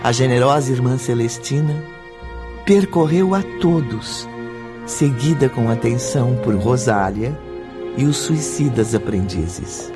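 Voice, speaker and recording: A man's voice: mid-range voice, a little slow, music in the background, lightly acted. A man with a Brazilian accent narrating a radio drama as a solemn storyteller.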